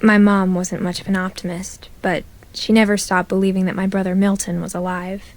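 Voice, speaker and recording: A woman voicing a young woman who narrates her return to her family home, in a quiet, wistful storytelling manner. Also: mid-range voice, little pitch movement, a little fast, a little hiss or hum, lightly acted. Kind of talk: game narration.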